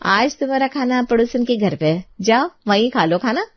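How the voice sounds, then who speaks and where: A woman voicing a sly, teasing young housewife in an animated family drama, with a sarcastic manner. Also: fairly high pitch, moderate pace, no room sound, highs cut off.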